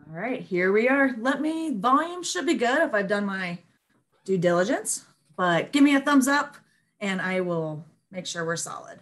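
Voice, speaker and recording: A woman's voice: medium pitch, lively intonation, moderate pace. A woman hosting an online group call, presenting in an informal, conversational webinar style. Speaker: host of an online monthly call.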